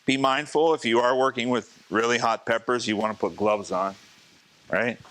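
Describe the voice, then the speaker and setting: A man, a chef, teaching a live cooking demonstration in a casual, chatty way, slightly hoarse as he is losing his voice. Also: medium pitch, steady tone, normal speed, fairly bright tone, poor audio. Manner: casual, chatty, talks to the class.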